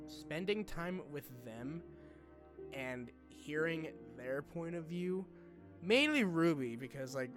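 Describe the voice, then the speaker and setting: A young man talking informally to his subscribers in a personal vlog, halting and rambling in a colloquial way. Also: medium pitch. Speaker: online video creator.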